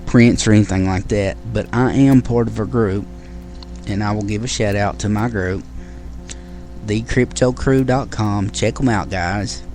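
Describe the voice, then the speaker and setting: A man's voice: fairly low pitch, unhurried, darkish tone, soft background music. A man hosting his own show, talking casually to listeners in a rambling way with many fillers and restarts. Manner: friendly sign-off to listeners. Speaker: podcast host. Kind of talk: casual solo show talk.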